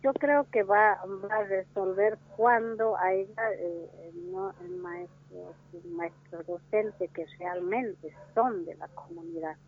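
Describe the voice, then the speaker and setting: Halting woman on a tinny phone line. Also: medium pitch. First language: Wichí. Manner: slow, halting, many restarts and fillers. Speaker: interviewee. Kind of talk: interview answer.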